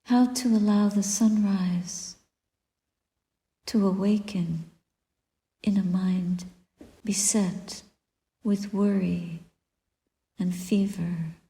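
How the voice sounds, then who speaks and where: A woman guiding a meditation as a Buddhist teacher, speaking softly and deliberately in short phrases with long pauses and breathy endings. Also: mid-range voice, steady tone. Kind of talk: guided meditation, spoken dharma reflection. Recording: echoey room with long reverb.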